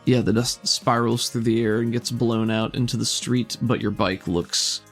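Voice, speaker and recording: A man running a tabletop role-playing game as game master, narrating scenes in a relaxed, descriptive way. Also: mid-range voice, normal speed, soft background music.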